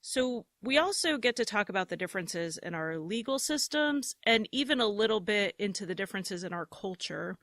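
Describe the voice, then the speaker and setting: A woman's voice: medium pitch, expressive, normal speed, hardly any pauses, fairly bright tone, no room sound. American woman podcast host, dry close sound. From United States. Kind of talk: roundtable discussion.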